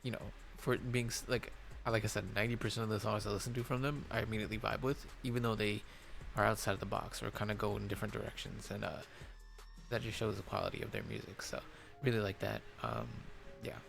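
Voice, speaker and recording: Gravelly, hoarse low-voiced man. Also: fairly bright tone, soft background music. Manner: rambling, halting, full of fillers and restarts. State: hoarse, losing his voice. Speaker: reaction video host. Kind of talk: casual reaction commentary.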